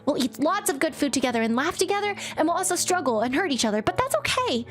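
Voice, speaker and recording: A woman voicing a bubbly, caring young woman friend who talks in a bright, insistent way. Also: sits high, very animated, quick pace, faint music behind, voice pushed up. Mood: cheerful.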